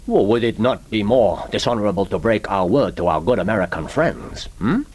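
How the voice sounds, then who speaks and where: An actor playing a courteous, grave middle-aged Japanese intelligence chief with a formal, measured manner in a radio play. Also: male voice, on the low side, expressive, a little mellow, a little hiss or hum, close to an everyday voice. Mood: grave, solemn. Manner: formal, measured, philosophical, prompting with "huh?". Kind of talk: radio drama acting.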